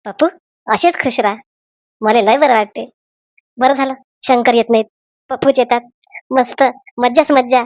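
A woman's voice: a little high, steady tone, moderate pace, long pauses, dry recording, close to an everyday voice. A woman voicing a cheerful, scheming village woman in a homemade comedy cartoon. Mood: pleased.

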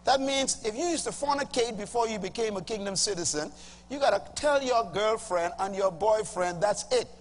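A middle-aged Bahamian man preaching a sermon to a congregation, emphatic, swinging from loud bursts to low asides. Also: normal speed. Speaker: pastor. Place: large church auditorium with a congregation. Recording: reverberant hall, faint hum.

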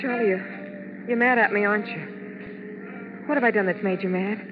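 An actress playing a frightened, clinging showgirl wife in a 1950s radio drama, halting and pleading. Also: medium pitch, close to an everyday voice. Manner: pleading, halting, repeating herself. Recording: dull 1950s radio broadcast with music.

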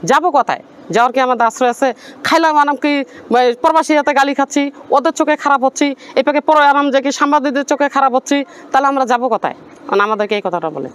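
A woman from Bangladesh, answering interview questions in a rapid, earnest, pleading way. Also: sits high, smooth.

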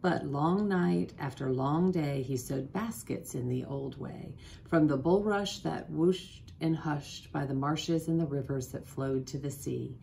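A woman in her forties reading a children's picture book aloud in an expressive, deliberate way, pausing to put questions to listeners. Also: sits low, creaky, a little hiss or hum. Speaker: school principal, guest storybook reader. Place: small office, indoors.

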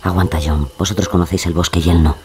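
An actor playing a grave, cautionary companion of outlaws in an audio drama. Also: male voice, deep voice, flat delivery, quick pace, a little mellow, a little hiss or hum, natural voice. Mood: wary. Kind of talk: dramatized audio fiction acting.

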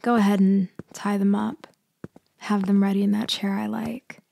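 A woman playing a cool, teasing, controlling mafia leader in an ASMR roleplay, speaking deliberately in a murmur that often drops toward a whisper. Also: medium pitch, flat delivery, creaky, a little hiss or hum. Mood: cool, businesslike. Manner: slow, deliberate, softly taunting, often dropping to a whisper. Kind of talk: ASMR roleplay audio acting.

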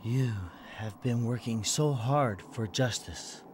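An actor playing a stoic, righteous giant alien robot in a game cutscene, speaking in a solemn, deliberate, formal manner. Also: male voice, mid-range voice, heavy background noise, lightly acted. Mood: grave, calm. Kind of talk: animated game cutscene dubbing.

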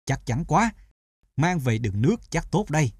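A man voicing a weary poor peasant in an animated folktale, exclaiming and complaining. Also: fairly high pitch, moderate pace, background noise. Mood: amazed. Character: poor, weary thin peasant man, prone to amazement and lament.

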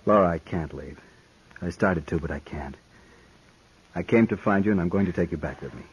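An actor playing a stiff, proud, condescending gentleman suitor, formal in speech, in a 1950s radio western. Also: low-pitched, fairly quick, breathy edge, muffled recording. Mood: strained, earnest. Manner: formal, clipped, self-important.

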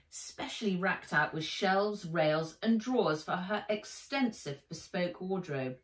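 A middle-aged woman reading a children's story aloud as its author, with expressive, emphatic dramatic narration. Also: fairly low pitch. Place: sitting room by a window, indoors. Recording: roomy, slightly distant home recording.

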